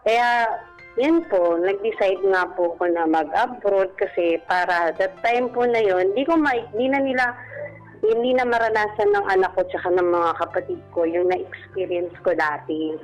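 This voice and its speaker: A woman's voice: medium pitch, animated, unhurried, rough recording. A Filipino woman politely telling a personal story as a caller on a radio show. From Philippines. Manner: polite, deferential, using respectful particles. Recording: radio broadcast with music underneath. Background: background music.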